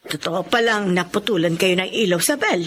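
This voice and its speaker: A woman's voice: lowish voice, expressive, normal speed, fairly bright tone, a little hiss or hum. A woman playing an exasperated older sister in a radio drama, blunt and scolding. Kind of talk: Tagalog radio drama acting.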